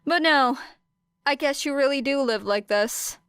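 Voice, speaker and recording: A woman voicing a weary, sardonic teenage girl student in a deadpan, understated manner. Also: sits high, measured pace, dry recording, voice pushed up. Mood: cold sarcasm. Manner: dry, unemotional, understated.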